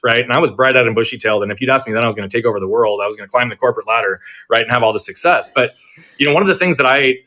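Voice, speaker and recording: A man in his thirties, a performance coach, telling his life story as a guest in an energetic, earnest and self-reflective way over a social audio app. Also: medium pitch. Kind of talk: conversational storytelling interview. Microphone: remote audio on a social audio app.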